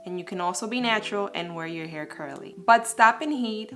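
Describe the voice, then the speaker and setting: A woman who grew up in the Dominican Republic walks viewers through hair care in a steady, scripted explainer. Her delivery is calm and instructive, pitched in an ordinary middle range. Soft music plays quietly behind her voice. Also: brisk.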